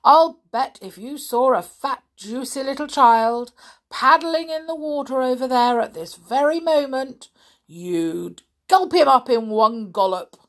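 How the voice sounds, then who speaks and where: A woman's voice: sits high, voice pushed up. A woman voicing a greedy, boastful enormous male crocodile, drawing out her words with relish. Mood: gloating. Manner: gloating, drawn-out words. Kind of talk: storybook read-aloud.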